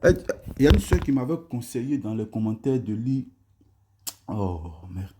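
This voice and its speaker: A man's voice: mid-range voice, very animated, normal speed, noisy background. A man hosting a live religious debate stream, reading verses aloud and laughing often with his callers. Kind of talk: casual live debate chat.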